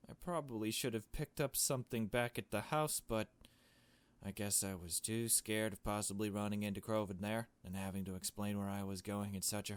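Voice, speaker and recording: A man with a fairly low voice narrates a visual novel as he plays. He moves between reading the text aloud and making casual, blunt remarks of his own. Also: clean tone. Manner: casual, blunt asides with swearing. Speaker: gaming video host.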